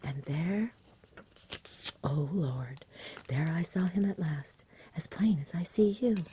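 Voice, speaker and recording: A woman voicing a frightened, fretful shepherd father from a storybook, in an agitated, rambling country dialect and a breathy whisper. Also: lowish voice, slow, warm tone, a little hiss or hum. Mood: nervous.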